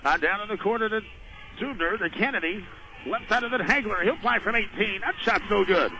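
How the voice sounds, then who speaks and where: A man's voice: high-pitched, brisk. A man doing live radio play-by-play of a basketball game in clipped, urgent phrases. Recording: noisy radio broadcast of a game.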